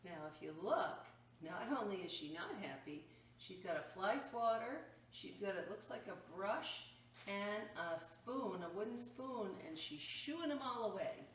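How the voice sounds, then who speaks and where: Slow-paced woman reading a picture book aloud. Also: medium pitch. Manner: expressive, exclaiming, pausing to point out pictures. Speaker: storytime reader at a library. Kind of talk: animated picture-book read-aloud with asides to children. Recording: roomy echo, distant mic, faint noise.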